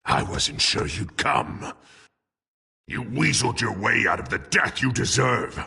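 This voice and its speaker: A man playing a menacing, volatile, vengeful villain in a video game, with a harsh gravelly voice. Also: mid-range voice, big pitch swings, full character voice. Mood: furious, unhinged. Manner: swings from slow menace to shouting. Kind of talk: dramatic video game acting.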